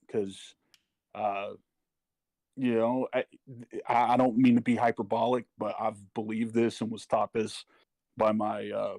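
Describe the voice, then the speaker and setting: A middle-aged man speaking personally and earnestly, haltingly searching for his words. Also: lowish voice, creaky, darkish tone, no room sound. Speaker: parent speaking as a panel guest. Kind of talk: personal testimony in casual talk.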